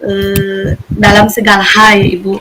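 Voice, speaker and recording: A woman's voice: medium pitch, monotone, measured pace, bright, forceful tone, faint music behind, rough recording. A woman in a semi-formal coaching conversation, speaking politely and hesitantly as a teacher, with frequent checking tags. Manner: polite, hesitant, tag-heavy phrasing. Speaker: primary school teacher in a coaching session.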